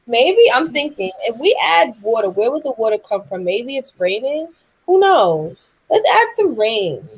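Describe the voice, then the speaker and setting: A woman's voice: on the high side, sing-song, unhurried, breathy edge, low-quality recording. A woman guest chatting casually, wondering aloud in a thoughtful way. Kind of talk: casual chat.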